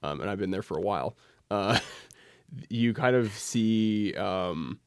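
A young man with a Canadian accent chatting casually as a podcast guest, rambling and halting in a jokey way. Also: fairly low pitch, lively intonation, no room sound, clean studio sound. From Alberta, Canada. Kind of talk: casual chat.

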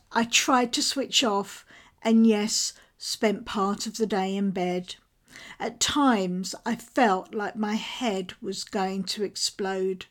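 A middle-aged woman hosts a show and speaks candidly about her own experience in a measured, reflective monologue. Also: a little high. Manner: candid, measured, self-disclosing. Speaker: podcast host.

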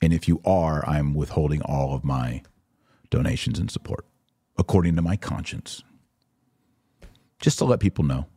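Male podcast commentator: very low, mellow voice, conversational but emphatic delivery. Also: moderate pace, vocal fry, pauses often, husky, no echo, clean studio sound. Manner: conversational, emphatic, acts out imagined exchanges. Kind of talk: casual religious commentary, answering listener questions.

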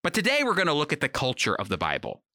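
A man's voice: on the high side, wildly swooping pitch, fast, bright, forceful tone, dry recording, studio quality. A man hosting a radio program, teaching in an earnest, encouraging, conversational way and speaking directly to listeners. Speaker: radio host and Bible teacher. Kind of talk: conversational Bible teaching and commentary. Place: remote home recording, dry.

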